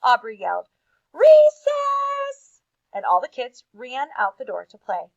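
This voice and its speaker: A woman reading aloud to children as a teacher, with expressive emphasis and casual asides. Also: medium pitch, normal speed, vocal fry, dry recording, lo-fi sound.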